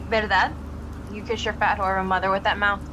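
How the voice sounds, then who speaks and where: A woman's voice: mid-range voice, level tone, unhurried, natural voice. An actress playing a tough, defiant young woman in an audio drama, sarcastic and scornful. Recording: noisy outdoor street ambience behind.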